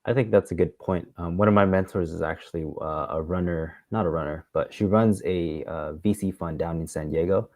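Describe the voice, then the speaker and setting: A man hosting a conversational interview, putting his questions in halting, hesitant phrases. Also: low voice, darkish tone, no echo, clean studio sound. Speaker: interviewer, show host.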